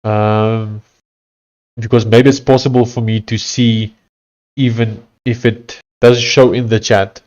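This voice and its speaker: A man's voice: on the low side, level tone, darkish tone, muffled recording. A man from South Africa with an Afrikaans accent, chatting casually on a livestream and reading messages and text aloud. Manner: conversational, reads text aloud haltingly. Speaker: livestreaming coder.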